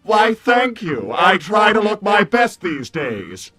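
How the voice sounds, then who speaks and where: Prim robot butler type, played by a man: high voice, wordy and vain, formal with a sardonic edge. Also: sing-song, slow, pushed and bright, soft background music, full character voice. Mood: pleased, fussy. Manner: fussy, formal, mildly sardonic. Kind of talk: improvised in-character livestream roleplay.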